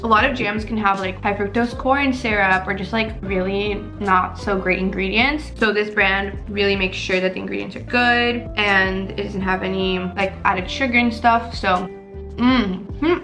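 A young woman in her twenties speaks in a casual, upbeat vlog style at an average pitch. She is recorded indoors at home on a handheld camera, with music playing behind her chatty talk. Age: 20s. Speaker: lifestyle vlogger. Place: indoors at home, kitchen and living room. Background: background music.

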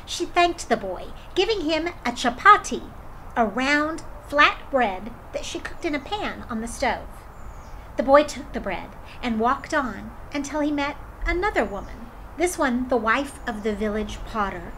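A woman storyteller reading a children's folktale aloud in an expressive way. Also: sits high, measured pace, a little hiss or hum, poor audio. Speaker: drama storyteller.

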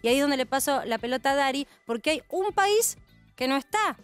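A woman's voice: high voice, lively intonation, fairly quick, soft background music. A woman in her thirties with an Argentine accent, hosting a studio news panel and explaining with rhetorical questions. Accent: Argentine Spanish. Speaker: news host.

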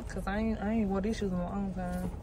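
A young woman, chatting loudly and playfully in slang with a friend while vlogging from a car and then a farm on a handheld phone. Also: mid-range voice, fairly even intonation, fast. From Southern US. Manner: loud, playful banter in slang. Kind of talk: casual chat. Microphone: phone held at arm's length. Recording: noisy outdoor phone recording.

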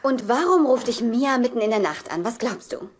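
An actress playing a suspicious, pointed fiancée in dubbed sitcom dialogue, probing and sardonic. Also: female voice, on the high side, lively intonation, normal speed, slight background noise, close to an everyday voice.

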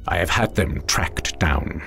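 Gravelly scheming lord. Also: male voice, slow, fairly bright tone, faint music behind, exaggerated voice. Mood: conspiratorial. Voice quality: very deep and gravelly. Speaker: castle lord. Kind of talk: video game cutscene acting.

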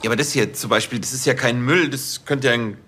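A man's voice: medium pitch, fast, pushed and bright, slight background noise, lightly acted. A man playing a hesitant, bumbling junior cop. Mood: sheepish. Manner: hesitant, stumbling, apologetic. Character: bumbling, sheepish male junior police officer.

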